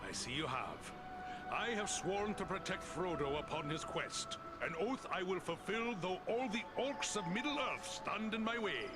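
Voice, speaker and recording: Proud older dwarf warrior, loyal, earnest archaic speech, slightly high voice, video game cutscene, music behind. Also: male voice, lively intonation, a little slow.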